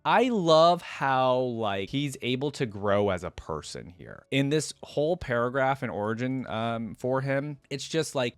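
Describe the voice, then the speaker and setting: A man's voice: fairly low pitch, dry recording. A man reading a story aloud and breaking off for casual, rambling commentary full of fillers as a reaction video creator. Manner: casual, filler-heavy commentary between read passages.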